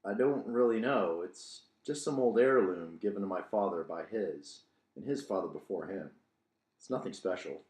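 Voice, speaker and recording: A man's voice: sits low, normal speed, darkish tone, natural voice. A man voicing a wary, plain-spoken young villager in a fantasy audiobook, in a guarded way. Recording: roomy, echoing room sound.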